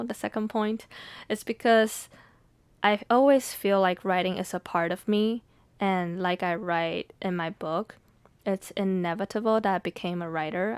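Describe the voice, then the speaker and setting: Smooth-voiced young woman, native Mandarin speaker. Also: on the low side, no echo. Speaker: podcast host and writer. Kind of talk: reflective podcast monologue.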